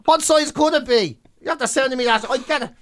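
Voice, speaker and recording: A man's voice: very high-pitched, a little fast, a little hiss or hum. An Irish man co-hosting in casual comic banter, ranting in an exasperated, self-deprecating, sweary way. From Ireland. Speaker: comedy podcast and radio co-host.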